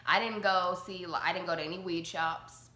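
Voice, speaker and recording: Bright chatty young woman host. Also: fairly low pitch, steady tone, normal speed, echoey room. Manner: chatty, laughing, says like constantly. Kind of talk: casual chat on a live-streamed talk show.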